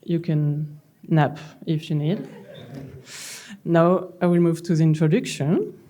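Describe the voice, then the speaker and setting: A middle-aged woman from France with a French accent, giving a calm, gently humorous conference talk from a lectern in a large hall. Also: low voice, slow pace. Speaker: conference speaker.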